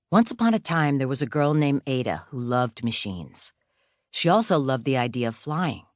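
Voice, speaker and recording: A woman narrates a storybook in a low voice. Her delivery is measured and expressive, with pitch that moves freely up and down. The sound is dry and close, like a studio audiobook. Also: no room sound.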